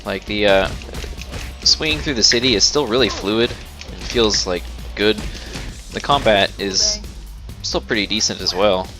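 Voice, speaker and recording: A man with a low voice gives casual gameplay commentary. He is laid-back and swears offhand, with loud game music behind him. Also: unhurried. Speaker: gamer commentator. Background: video game music and audio.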